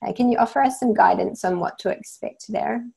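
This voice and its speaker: A woman from New Zealand with a New Zealand accent, moderating a webinar, thanking speakers and passing along questions in a measured way. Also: mid-range voice, vocal fry, a little mellow. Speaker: webinar host.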